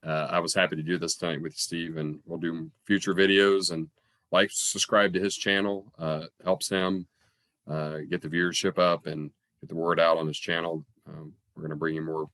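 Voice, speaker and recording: A man's voice: deep voice, little pitch movement, normal speed, fairly bright tone, no room sound. A man a little stuffed up with a cold, chatting casually as a guest reviewer. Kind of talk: casual chat. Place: room at home, on a video call.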